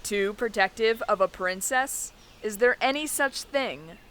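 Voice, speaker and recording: A woman's voice: medium pitch, a little slow, fairly bright tone, slight background noise, close to an everyday voice. A woman playing a protective, wry female knight who guards a princess in a fantasy audio drama.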